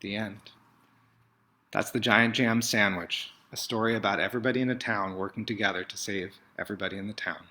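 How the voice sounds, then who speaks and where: A man reading a children's picture book aloud in an expressive, theatrical way, with emphatic swells and dramatic hushes. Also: fairly low pitch, fairly quick.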